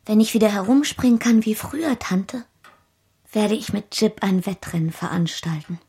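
An actress playing a sweet, childlike, frail young wife in a radio play, with a soft, breathy voice. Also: female voice, mid-range voice, animated, normal speed. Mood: wistful, playful.

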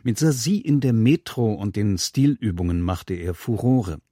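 A man's voice: lowish voice, animated, measured pace, mellow, dry recording, clean studio sound. A man presenting a scripted introduction on the radio.

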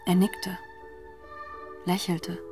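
An actress playing a grave, haunted narrator in a literary radio drama, telling the story in a measured, deliberate way. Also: female voice, lowish voice, flat delivery. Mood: earnest. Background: music.

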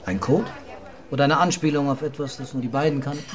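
A man's voice: medium pitch, fairly even intonation, fast, lightly acted. A man acting in a radio drama as a methodical police investigator, questioning and summing up step by step. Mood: probing.

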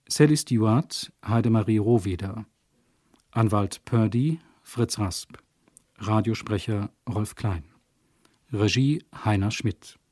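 A man announcing radio drama credits in a measured, formal 1970s broadcast style. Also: fairly low pitch, dark tone, husky, dry recording, studio quality.

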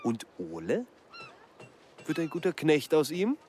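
Nosy, animated villager, higher-pitched. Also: male voice, measured pace, a little hiss or hum. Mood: chatty, familiar. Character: talkative nosy village man, opinionated and disapproving. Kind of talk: German radio drama acting.

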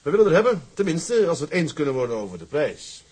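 A man's voice: on the high side, big pitch swings, quick pace, a little mellow, breathy edge. An actor playing a proud, independent seaman in a Dutch period drama, speaking bluntly and firmly but with respect. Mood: resolute. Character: proud, stubbornly independent seaman, plain-spoken and resolute.

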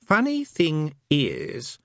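A man's voice: on the high side, wildly swooping pitch, drawn-out words, gravelly, dry recording, clean studio sound, voice pushed up, full character voice. A man voicing a sarcastic male demon who needles and teases in a wry drawl. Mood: sardonic. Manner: sarcastic, teasing.

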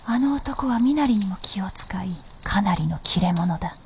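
A woman's voice: mid-range voice, lively intonation, normal speed, noisy background, lightly acted. A woman playing a shrewd, blunt princess with knowing, masculine phrasing in a period radio drama. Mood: hushed, explaining.

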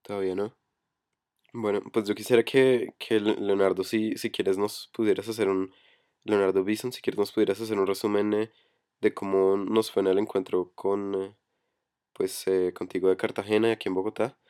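Man, hoarse from a cold, low monotone voice, hesitant, headset mic. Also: normal speed. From Colombia. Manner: hesitant, many fillers. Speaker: meeting coordinator. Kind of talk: online voice chat meeting. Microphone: computer headset for voice chat.

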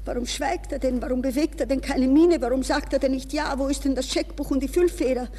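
A woman's voice: sits high, steady tone, fairly quick, mellow, natural voice. An actress playing an anxious, proud young woman in a nervous, pleading manner, in the style of 1960s radio drama. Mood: inner anguish. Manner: breathless, nervous, shifting between polite speech and inner thoughts. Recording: reverberant, hissy 1960s radio recording.

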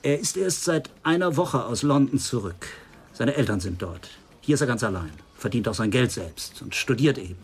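Earnest, concerned hospital doctor, radio crime drama: mid-pitched, slightly husky, explaining at length. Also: male voice, lively intonation, moderate pace. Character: concerned, talkative male hospital doctor reporting a case.

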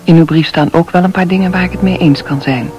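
A woman's voice: on the low side, fairly even intonation, fast talker, a little mellow, soft background music, close to an everyday voice. Actress as modest, plain-spoken hospital nurse, a woman. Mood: reserved, friendly. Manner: polite, simple, matter-of-fact. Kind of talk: Dutch radio play acting.

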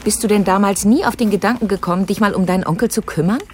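An actress playing an insistent, probing adult daughter with a pointed manner in a naturalistic radio drama. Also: female voice, medium pitch, fast, a little mellow, a little hiss or hum, natural voice. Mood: accusing. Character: insistent, accusing grown daughter digging up family past.

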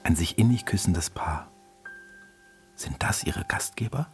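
Low-pitched male narrator over music. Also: expressive, a little slow, gravelly. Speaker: narrator and guide. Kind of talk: scripted narration addressing the listener.

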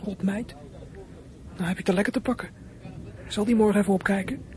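A man's voice: sits high, fairly even intonation, brisk, close to an everyday voice. A young man playing a troubled, resentful teenage runaway in a radio drama, muttering his thoughts and flaring up in indignation. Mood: defiant, resentful. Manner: muttering to himself, bursts of indignation. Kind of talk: radio drama acting with inner monologue.

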